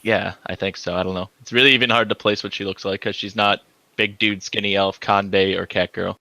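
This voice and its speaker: A man chats casually as a panelist in a group discussion. His voice is fairly low, with a close, dry sound that has little room to it. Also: fairly even intonation, a little fast, creaky, pushed and bright, no room sound, low-quality recording. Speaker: anime club podcast panelist. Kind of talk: casual chat.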